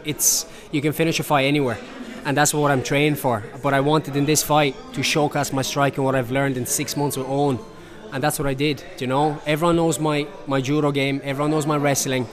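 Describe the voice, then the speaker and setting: A young male fighter talks fast and eagerly after a bout, tripping over repeated words. A busy crowd chatters clearly behind him at the venue. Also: on the high side, little pitch movement. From Romania, raised in Ireland. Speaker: MMA fighter. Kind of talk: post-fight interview.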